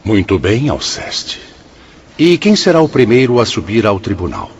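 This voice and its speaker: Muffled radio play, presiding spirit elder, deep, formal, benevolent, lively intonation. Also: male voice. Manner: formal, measured explaining. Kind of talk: radio drama acting. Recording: muffled, noisy audio with ambience.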